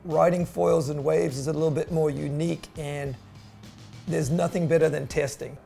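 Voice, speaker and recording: A middle-aged man with a New Zealand accent, a company co-founder talking casually about his work and often pausing with "uh". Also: fairly high pitch, vocal fry, faint music behind. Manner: relaxed, with frequent "uh" pauses. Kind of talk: casual promotional chat.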